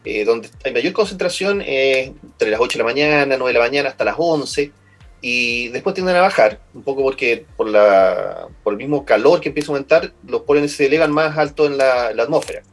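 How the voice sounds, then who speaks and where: A man's voice: medium pitch, animated, fairly quick. A man with a Chilean accent, a lung doctor as a guest, explaining at length and often repeating words as he finds his phrasing. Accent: Chilean Spanish. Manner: patient, repeats words while finding phrasing. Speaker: pulmonologist guest. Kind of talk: explanatory interview answers.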